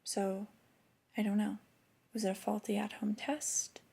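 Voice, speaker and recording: A woman playing a flirty, disappointed store boss in a soft-spoken ASMR roleplay, breathy and often whispering, with a slow, intimate scolding manner. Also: medium pitch, little pitch movement. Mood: reproachful, skeptical. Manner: slow, deliberate, intimate reprimanding.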